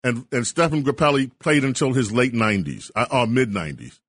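A man's voice: mid-range voice, expressive, brisk, a bit bright, no echo. A man hosting a call-in talk radio show, talking eagerly and wandering off on tangents. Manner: enthusiastic, rambling tangents. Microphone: studio microphone.

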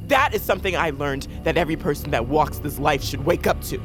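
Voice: medium pitch, brisk, natural voice. An actor playing a bitter, distrustful lone fighter in a fantasy audio drama, speaking in a blunt, combative way. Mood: defiant, bitter. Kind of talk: audio fiction acting. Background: prominent music under the voice.

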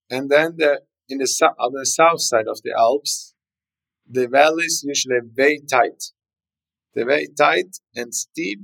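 A man with a South Tyrolean German accent speaks English as a second language, his voice gravelly and sitting at a middle pitch. He hesitates and repeats words while he explains things in a podcast interview. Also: fairly bright tone, poor audio. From South Tyrol, Italy. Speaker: winery guest.

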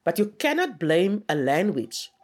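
Slow, reflective man. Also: high voice, very animated. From South Africa. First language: Afrikaans. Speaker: poet. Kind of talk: documentary interview.

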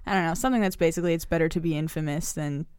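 A woman co-hosting a casual political podcast, musing out loud and hedging with "I feel like". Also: low-pitched, steady tone, very fast, clear, resonant tone, no echo, clean studio sound. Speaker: podcast co-host.

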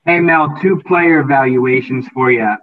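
A man's voice: medium pitch, measured pace. A male reporter asking questions on a press conference call. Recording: distant, narrow phone line.

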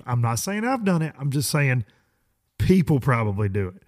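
A hoarse man with a drawl hosts a podcast. He talks at a middle pitch in a loose, folksy ramble, full of restarts. He often pokes fun at himself. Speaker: podcast host. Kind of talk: casual rambling monologue.

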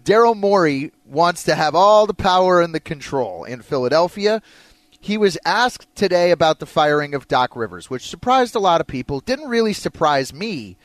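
Higher-voiced American man hosting sports talk radio. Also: sing-song, normal speed, dry recording. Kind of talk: opinionated sports talk, chatting with co-host. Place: radio studio. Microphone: close studio mic.

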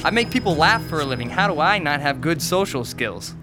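A man's voice: on the high side, sing-song, a little fast, over music. A man playing an eager, defensive young would-be comedian in his twenties, joking glibly in an audio drama. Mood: earnest. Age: 20s. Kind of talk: naturalistic audio drama dialogue.

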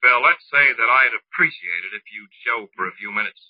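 A dull, dry old radio recording of an American police lieutenant, acted by a man. His mid-pitched voice is clipped and matter-of-fact, delivering lines deadpan in the manner of a 1940s detective serial. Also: fairly quick, no echo, natural voice. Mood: grim, matter-of-fact. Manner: terse, deadpan.

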